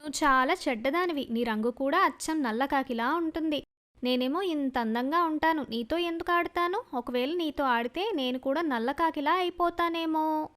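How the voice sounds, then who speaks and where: Woman as a sulky, high-voiced little girl. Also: moderate pace, a bit bright. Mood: scornful, sulky. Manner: scornful, curt. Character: vain, sulky little girl, a younger sister.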